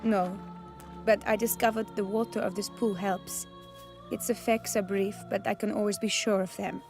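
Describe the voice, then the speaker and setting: A woman playing a noble lady cursed to turn bird, speaking in a formal, measured, poetic way with resigned dignity in a fantasy game. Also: mid-range voice, little pitch movement, music in the background, lightly acted. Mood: composed, polite.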